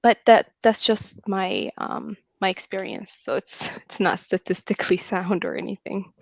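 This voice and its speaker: A woman with an Arabic accent calling into a livestream, talking haltingly with many pauses and small laughs. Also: medium pitch, expressive, vocal fry, no room sound. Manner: hesitant, with frequent laughs and ums. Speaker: caller. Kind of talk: casual call-in chat.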